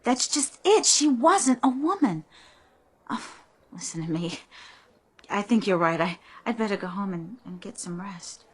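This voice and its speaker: A woman's voice: medium pitch, expressive, a little slow, slightly rough. An actress playing a bewildered, uneasy woman customer in a radio drama, speaking hesitantly and searching for her words. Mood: anxious.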